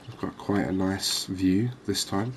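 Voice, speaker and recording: A man doing soft-spoken ASMR game commentary in an unhurried, calming, reassuring manner. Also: low-pitched, a little hiss or hum. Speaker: host of a cozy gaming channel.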